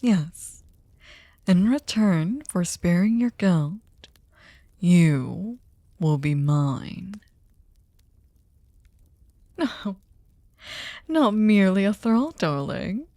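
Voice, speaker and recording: A woman playing a sadistic, possessive, centuries-old vampire lord in an intimate ASMR roleplay, speaking theatrically and tauntingly, often dropping into breathy whispers. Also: mid-range voice, sing-song, extremely slow, long pauses, warm tone, no echo, studio quality, exaggerated voice. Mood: possessive, seductive.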